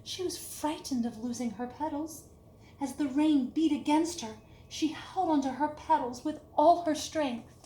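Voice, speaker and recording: A woman reading a picture book aloud to children in an expressive, deliberate storytelling manner, with hushed dips and emphatic lifts. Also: high-pitched. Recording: echoey room with clear background hiss.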